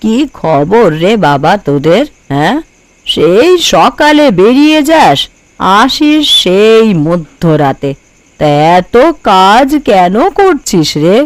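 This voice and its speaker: A woman's voice: mid-range voice, sing-song, slow, dry recording. A woman voicing an older, bossy, thrifty mother who scolds and frets over her family in a cartoon. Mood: fretful, caring. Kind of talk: Bengali cartoon voice acting.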